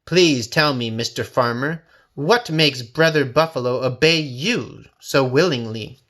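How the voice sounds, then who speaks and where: A man's voice: mid-range voice, unhurried, fairly bright tone, natural voice. A man voicing a sly, hungry tiger in a storybook, with a polite, wheedling manner that hides his scheming. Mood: politely curious. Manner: courteous, wheedling. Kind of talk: storybook character voice.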